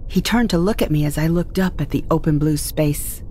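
A woman's voice: deep voice, brisk, darkish tone, a little hiss or hum. A woman narrating a scripted first-person audio drama as its storyteller.

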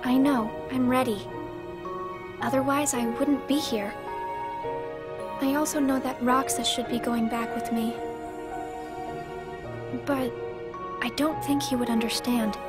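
A teenage girl in a video game with a high, earnest voice. She is solemn and hesitant, yet selfless and quietly determined. Melancholy music swells loudly behind her. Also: steady tone, unhurried, pauses often. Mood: sad, resigned. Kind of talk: dramatic game cutscene acting. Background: loud melancholy music.

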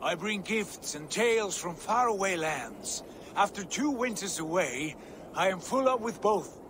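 A booming Viking prince. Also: male voice, animated, unhurried, noisy background. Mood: determined. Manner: hearty, booming, laughs often. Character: boisterous Viking prince returning home. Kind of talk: video game cutscene acting.

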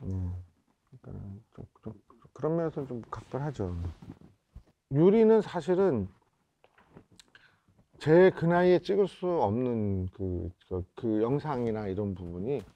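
A man in his fifties from South Korea reminisces as a film director in a reflective interview, often pausing to search for words. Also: mid-range voice, dark tone, no room sound, lo-fi sound. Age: 50s. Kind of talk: reflective oral-history interview. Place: indoor interview set before a photo backdrop.

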